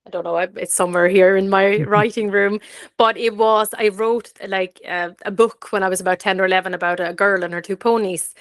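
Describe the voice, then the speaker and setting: A woman from Sweden who speaks English as a second language gives casual interview answers. Her voice sits at a middle pitch and her talk is chatty, often doubling back on itself. The sound is dry, with little room in it. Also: fairly even intonation, brisk, few pauses, no echo. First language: Swedish. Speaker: interview guest, fiction author.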